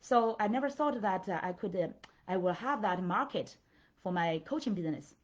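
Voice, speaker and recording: A middle-aged woman with a Chinese accent talks as a coach to her followers. She gropes for words, strings sentences together with "like" and "uh", and keeps checking in with "right?" and "okay?". The sound is somewhat dull and lo-fi, and her voice sits at a middling pitch. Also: lively intonation. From China. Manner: halting, filler-heavy, checks in with "right?" and "okay?". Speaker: life and business coach. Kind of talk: motivational talk to followers.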